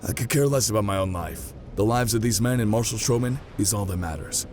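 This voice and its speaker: A man's voice: on the low side, brisk, darkish tone, natural voice. A man playing a grim, driven, reckless veteran soldier, terse and determined, in a fantasy audio drama. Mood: defiant. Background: music under the voice.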